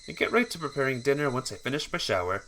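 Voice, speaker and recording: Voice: medium pitch, big pitch swings, fast, sharp tone, slight background noise. A streamer reading a visual novel aloud, often stumbling over words and correcting the slip, with music behind. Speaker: streamer, game player.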